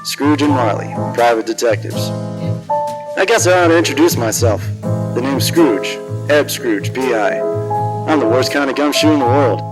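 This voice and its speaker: A man's voice: mid-range voice, animated, normal speed, lightly acted, rough recording. A man playing a grumpy, world-weary private detective in a hardboiled 1940s radio drama, wisecracking in slang, with music behind him. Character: cynical, grumpy, hard-drinking private detective. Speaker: actor narrating as lead detective.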